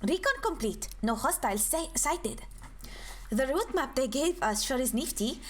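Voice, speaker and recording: Calm young mercenary woman, read aloud by a streamer. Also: moderate pace. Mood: calm, assured. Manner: reading game dialogue aloud in a low, even, calm tone.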